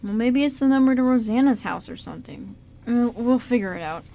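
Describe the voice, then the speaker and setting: A woman's voice: a little high, lively intonation, fairly quick, vocal fry, dark tone. A woman playing a jittery, wisecracking young male housebreaker in an amateur radio drama, with a casual, teasing delivery. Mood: teasing, curious.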